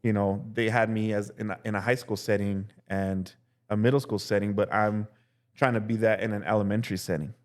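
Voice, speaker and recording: A young male teacher telling casual stories as a podcast guest, relaxed and full of "like" and "dude". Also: fairly low pitch, a little fast. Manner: relaxed, heavy use of "like" and "dude". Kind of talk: casual storytelling chat.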